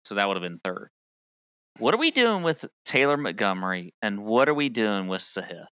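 A man's voice: lowish voice, big pitch swings, moderate pace, bright, forceful tone, smooth, dry recording, studio quality. A man co-hosting a casual golf livestream, joking around, asking questions and giving blunt takes. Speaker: golf show co-host.

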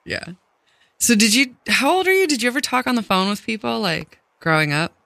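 Teasing man host, high and bright, laughs a lot, dry close sound. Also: big pitch swings, fairly quick, vocal fry, no echo. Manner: teasing, laughs often, asks questions. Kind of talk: casual phone chat.

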